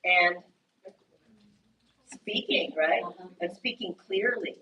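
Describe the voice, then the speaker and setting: A woman's voice: fairly low pitch, takes it slowly, highs cut off. A woman English teacher from Indiana with an Indiana accent, leading a class in a patient, encouraging, explanatory way. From Indiana, USA. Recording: distant mic in a classroom, faint noise.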